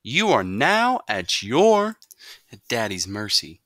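A man's voice: mid-range voice, huge pitch swings, slow, a bit bright, dry recording, clean studio sound, pitched above the performer's own voice, exaggerated voice. A man voicing a stern, exasperated mother scolding her son in a storybook reading.

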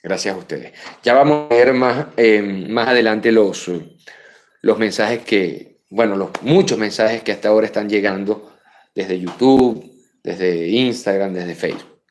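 A man in his thirties with a Venezuelan Spanish accent, hosting a live political commentary show from a room lined with bookshelves, speaking emphatically and dropping to quiet asides. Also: fairly low pitch, unhurried. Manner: emphatic, rises sharply on key names, drops to quiet asides. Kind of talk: live political commentary reading viewer messages. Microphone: webcam at desk.

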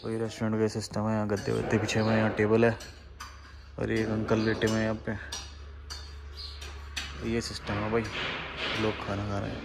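A man's voice: lowish voice, completely flat delivery, fairly quick, vocal fry, pauses often, heavy background noise. A young man, a travel vlogger, chatting casually to his phone camera held at arm's length, outdoors by a river and later in a car and a restaurant. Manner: chatty, talks straight to the camera while traveling. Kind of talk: casual travel vlog narration.

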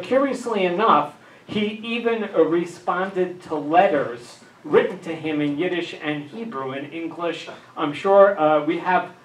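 Older male lecturer, higher voice, halting delivery with many fillers, heard in an echoey hall from a distant camera. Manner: many filler uhs, dry asides. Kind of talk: academic lecture. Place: dim lecture room with projector. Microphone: handheld mic. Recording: echoey room, camera far off.

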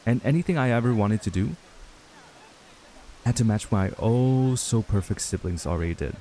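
A man acting a stressed, earnest young runaway in an intimate audio roleplay, speaking haltingly with sighs. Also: lowish voice, expressive, mellow, natural voice, poor audio. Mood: frustrated, venting. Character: stressed young man, frustrated runaway reuniting with childhood friend.

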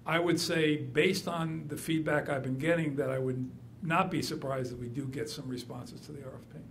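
A man's voice: a little high, steady tone, a bit bright, slight background noise. Man speaking at a council podium. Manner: measured, lots of ums, self-deprecating asides. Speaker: city official presenting to council. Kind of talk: formal public meeting remarks. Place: council chamber, standing at podium. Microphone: podium microphone.

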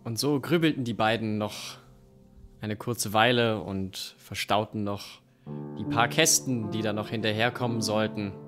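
A man's voice: lowish voice, steady tone, unhurried, a bit bright. A man, a young adult, narrating an improvised radio play on stage into a microphone. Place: dim theatre stage. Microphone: stand microphone close in front. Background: live guitar music.

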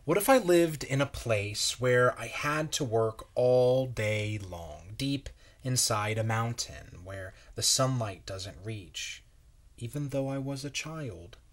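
A man in his thirties reading a children's picture book aloud in a measured, wondering way with pauses. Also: mid-range voice, lively intonation. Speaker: children's story reader. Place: small bright room at home, indoors.

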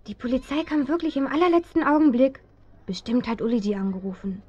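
An actress playing a bold, eager, quick-witted teenage girl detective in a German children's radio play. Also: high voice, expressive, darkish tone. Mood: eager, excited. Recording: dull, dry 1970s audio drama recording.

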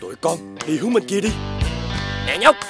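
A woman's voice: on the low side, very animated, moderate pace. A woman voicing a stern, authoritative animal policeman in a children's cartoon. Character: brisk, stern adult male police officer animal. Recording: music behind the voice.

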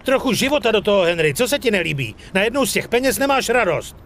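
A high-voiced, cocky gangster sidekick for a crime game. His delivery is brash and lively. Some noise sits behind him. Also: full character voice. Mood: elated. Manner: brash, slangy, exclaiming. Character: excitable, foul-mouthed, cocky male gangster sidekick. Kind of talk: dubbed video game acting.

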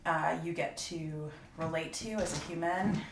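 A woman with a low voice speaks thoughtfully, pausing as she reflects in a group discussion. The raw recording is echoey, with faint noise behind her. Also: steady tone. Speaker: voice actress on a podcast panel. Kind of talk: reflective roundtable chat. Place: room, group around a table. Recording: roomy, noisy raw recording.

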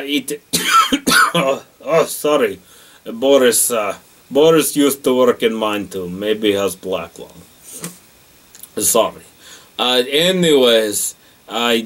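A man's voice: fairly high pitch, recorded from a distance. A man playing a boastful, jokey Russian character in a comedy monologue, speaking broken English with a Russian accent and calling himself by name. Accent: Russian (put on). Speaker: comedic character rating things.

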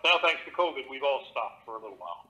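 A man's voice: medium pitch, big pitch swings, fast, muffled recording, crowd noise. A middle-aged man, an actor and audiobook narrator, talking casually in an interview from his home studio with a theatrical, expansive manner.

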